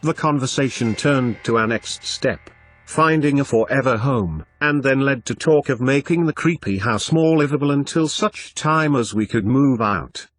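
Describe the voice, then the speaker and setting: A man reading a scripted first-person horror story as an expressive narrator. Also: mid-range voice, moderate pace, dry recording. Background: background music.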